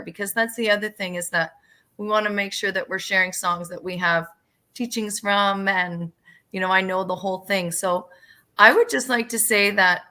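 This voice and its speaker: Woman leading a circle, bright voice, earnest informal spiritual talk, kinda halting. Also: mid-range voice. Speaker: singer leading a drumming circle. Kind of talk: gentle spiritual teaching, informal.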